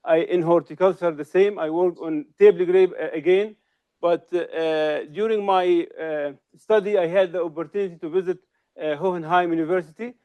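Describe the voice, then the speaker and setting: Middle-aged man, high smooth voice, halting seminar delivery, slightly muffled recording. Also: fairly even intonation. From Syria. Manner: halting, frequent hesitations and restarts. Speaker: research associate giving a seminar. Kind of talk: academic seminar lecture.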